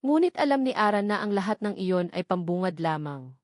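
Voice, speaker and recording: A Filipino woman narrates a dramatic story in a scripted storytelling style. Her voice sits at a medium pitch, and the recording is dry with no room sound. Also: animated, fairly quick, no room sound.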